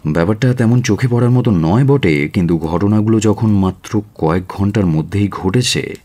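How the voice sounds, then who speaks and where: Guy playing a confident brainy detective in a radio play, deep voice, measured and commanding. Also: mellow, close to an everyday voice. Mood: confident, deliberate. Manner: deliberate, emphatic, authoritative. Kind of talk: radio drama acting.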